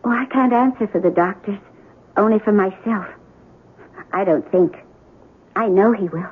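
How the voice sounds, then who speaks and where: An actress in an old-time radio drama playing a devoted, tender military nurse with an earnest, soothing manner. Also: female voice, mid-range voice, moderate pace, slightly rough, natural voice. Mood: gentle, concerned. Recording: tinny narrow-band old radio recording.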